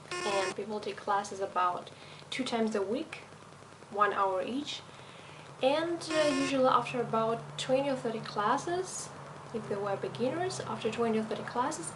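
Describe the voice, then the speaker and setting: A young woman with a slight European accent, speaking non-native English in a fairly high voice. She lays out her teaching methods in a methodical, explanatory way, pausing on little fillers. A steady hiss runs under the recording. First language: not English. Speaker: language teacher. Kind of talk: teacher self-introduction video.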